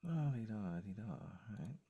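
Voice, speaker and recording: A man chatting casually and haltingly on a video call, often muttering to himself as he works. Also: fairly low pitch, big pitch swings, dark tone, breathy edge, no room sound. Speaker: co-host and audio producer. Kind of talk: casual live troubleshooting chat. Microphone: microphone on a video call.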